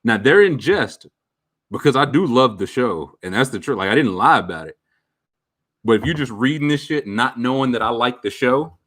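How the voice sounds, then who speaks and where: A man around thirty talks casually with his viewers, candid and reflective. His voice sits at a middle pitch, and his speech is loose, with slang and fillers. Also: fairly bright tone. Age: about 30. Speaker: streamer answering viewer questions. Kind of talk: casual chat.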